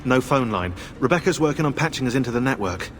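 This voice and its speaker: An actor playing a sardonic, anxious, quick-witted assassin in a video game, with clipped delivery. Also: male voice, medium pitch, expressive, fairly bright tone, natural voice. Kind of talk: video game cutscene acting. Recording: long reverb, faint music.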